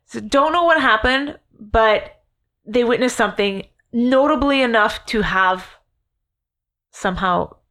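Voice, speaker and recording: A woman's voice: a little high, slow, long pauses, fairly bright tone. Woman telling a story on a podcast, jokey and swears, rambles a lot. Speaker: podcast co-host. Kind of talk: casual storytelling chat.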